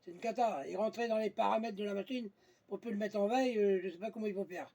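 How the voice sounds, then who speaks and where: A man's voice: sits high, quick pace, vocal fry, a little mellow. A man chatting casually on amateur radio, blunt and colloquial, often searching for his words. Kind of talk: casual radio contact chat.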